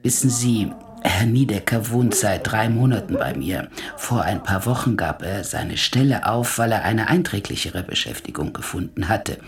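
A woman's voice: very deep voice, expressive, normal speed. An actress playing a defensive, gossipy landlady with an insistent, self-justifying manner in a German radio play. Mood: gossipy, aggrieved.

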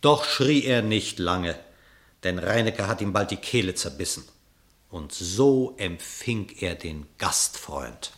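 Low-voiced male radio play narrator. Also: lively intonation, slow, a bit bright. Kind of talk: scripted storytelling narration in verse.

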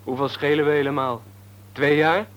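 A man playing a cheeky, higher-voiced nineteen-year-old lad. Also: fairly even intonation, normal speed, muffled recording, natural voice. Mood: teasing. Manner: breezy, teasing, bantering. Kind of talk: Dutch radio play acting. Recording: old radio drama recording.

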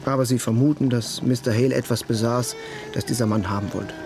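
An actor playing a matter-of-fact police detective questioning people methodically in a radio crime drama. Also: male voice, mid-range voice, steady tone, brisk, over music, close to an everyday voice. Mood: businesslike, probing.

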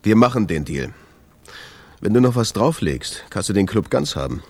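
A man's voice: fairly low pitch, very animated, normal speed, a little mellow, husky, no echo, heavily acted. A man playing a weary, stubborn jazz club owner in a naturalistic radio drama. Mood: resigned.

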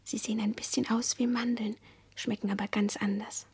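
An actress playing a dreamy, childlike young woman, speaking in a hushed, intimate, breathy whisper in a radio play. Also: a little high, level tone, normal speed. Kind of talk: German radio play acting.

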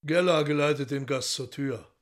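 A man's voice: fairly high pitch, moderate pace, lightly acted. A man playing a courteous, sinister devil in a radio drama, polite and coolly superior.

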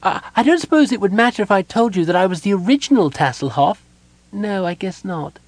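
A man's voice: sits high, expressive, brisk, dry recording, pitched above the performer's own voice. A man voicing a naive, cheerful little kender, an eager and easily distracted chatterer from a fantasy audiobook. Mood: sheepish. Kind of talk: audiobook character voice.

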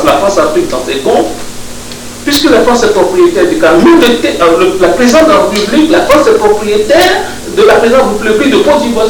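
A man from West Africa giving an informal talk to an audience, halting, with many restarts and fillers. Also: high-pitched. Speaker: speaker at a public discussion. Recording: distant mic in an echoey room with noise.

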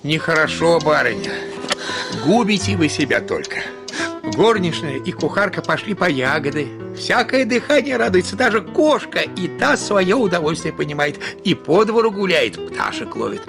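An elderly household servant in a radio drama. His voice sits high for a man, and he talks in a folksy, grumbling, coaxing way, like a devoted retainer scolding his mistress. Music plays clearly behind him. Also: big pitch swings, moderate pace, heavily acted. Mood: coaxing reproach. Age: older. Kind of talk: Russian radio play acting. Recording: studio radio play with background music.

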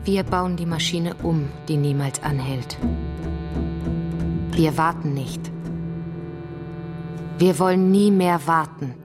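Slow, declamatory female revolutionary. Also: sits low. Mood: resolute. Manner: emphatic, measured slogans. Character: fervent female revolutionary, defiant and uncompromising. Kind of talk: stylized radio drama acting, declamatory. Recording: studio radio play with loud music.

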